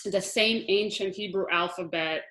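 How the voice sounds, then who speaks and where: A woman's voice: mid-range voice, normal speed, fairly bright tone. A woman in her thirties from Israel, a Hebrew teacher giving a chatty online lesson over a video call, explaining with frequent restarts and small laughs. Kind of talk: online lesson with shared slides. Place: room at home, video call. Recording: echoey room on a video call.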